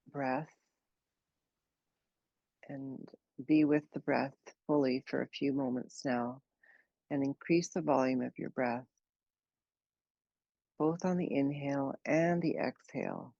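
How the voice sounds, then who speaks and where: A woman leading a guided meditation, speaking slowly and gently in an unhurried way with long pauses. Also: very deep voice, no echo, highs cut off. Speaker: meditation teacher.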